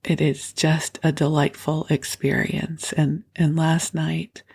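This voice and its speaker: A woman hosting a bedtime meditation with a froggy morning voice, speaking softly and gently and often dropping to a whisper. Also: deep voice, level tone, takes it slowly, gravelly, breathy edge. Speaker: podcast host. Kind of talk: soothing bedtime meditation and prayer.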